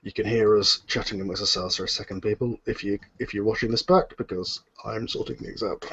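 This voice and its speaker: A man's voice: lowish voice, fairly even intonation, fairly quick, vocal fry, fairly bright tone, breathy edge. Muffled man hosting a casual livestream. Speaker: host of a live online Q and A. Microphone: video call. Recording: muffled lo-fi internet video call.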